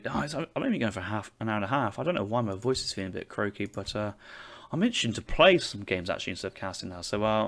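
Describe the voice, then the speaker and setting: A man's voice: fairly low pitch, fairly bright tone. A young man casting a strategy game tournament, breaking down units and matchups as he thinks aloud. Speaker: esports caster.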